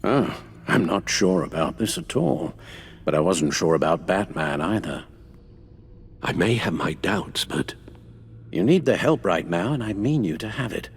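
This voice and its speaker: An actor playing an elderly British butler and mentor in a video game, caring and worried, with formal, measured, genteel phrasing. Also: male voice, low voice, animated, slight background noise. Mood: worried, solemn. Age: older. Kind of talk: dramatic video game voice acting. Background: background music.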